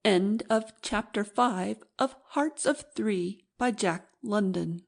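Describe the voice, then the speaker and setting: A woman narrates a classic adventure story as an audiobook. Her voice sits at a medium pitch, and she reads from a script as the storyteller. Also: slow, vocal fry.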